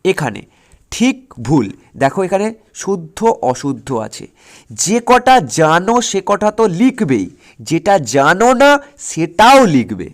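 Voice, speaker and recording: A man's voice: sits high, wildly swooping pitch, slow pace. A man coaching school students for exams in an emphatic, directive way, repeating his instructions and checking that they follow. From West Bengal, India. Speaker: school exam tutor.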